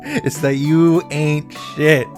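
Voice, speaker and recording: A man's voice: fairly high pitch, steady tone, slow pace, a little mellow. A man giving blunt, mocking, sweary reaction commentary on a visual novel. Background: game music.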